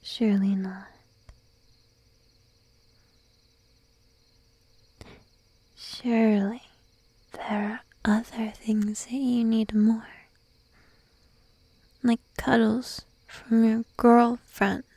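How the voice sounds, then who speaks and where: A woman voicing a shy, needy, teasing sheep-girl girlfriend in an ASMR roleplay, speaking in a soft breathy whisper close to the microphone. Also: a little high, little pitch movement, no echo, exaggerated voice. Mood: coaxing, needy. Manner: slow, drowsy, playfully coaxing. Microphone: close microphone, dry.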